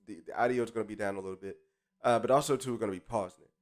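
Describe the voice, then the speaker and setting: A man's voice: fairly low pitch, very fast. A man hosting a live stream in casual chat, stuffy-nosed and under the weather, talking in hyped slang and greeting chatters by name. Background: faint live jazz music.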